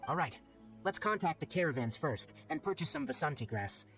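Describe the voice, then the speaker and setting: A man's voice: fairly high pitch, animated, brisk, a bit bright. A man voicing a businesslike young forest watcher in a video game, speaking in a matter-of-fact, curt way. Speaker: voice actor in game dialogue. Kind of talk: scripted video game voice acting. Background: game background music.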